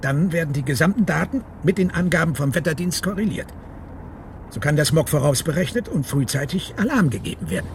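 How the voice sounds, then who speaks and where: Shrewd police inspector, animated higher voice, deliberate, car noise behind, radio crime drama. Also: male voice. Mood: explaining, measured. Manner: explanatory, deliberate, probing. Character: measured, shrewd male police inspector, wary official. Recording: noisy vehicle interior ambience. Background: car driving noise.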